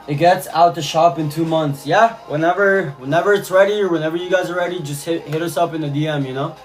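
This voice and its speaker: A man's voice: on the high side, steady tone, quick pace, faint music behind. A man from Cuba talking casually on a live stream, reading chat messages and answering viewers, often repeating his phrases. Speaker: livestreamer.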